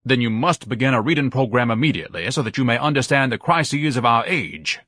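A man's voice: mid-range voice, very animated, fairly quick, a bit bright, husky, no room sound, clean studio sound. A man voicing a pompous, bombastic scholar with a solemn, orator-like way of talking and florid diction, in a storybook narration. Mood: solemn, lecturing. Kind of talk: audiobook character acting.

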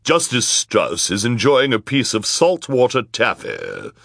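A villainous storybook woman with a low, deep voice, put on by a male narrator. She is a crooked judge, sly and accusing, heard in a dry, close sound. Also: huge pitch swings, unhurried, gravelly, fairly bright tone, no room sound, heavily acted.

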